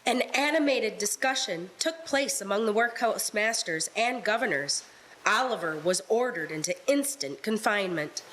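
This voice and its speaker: A woman's voice: mid-range voice, animated, normal speed, slight background noise, rough recording. A woman reading dramatic scripted narration for a radio play. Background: loud music.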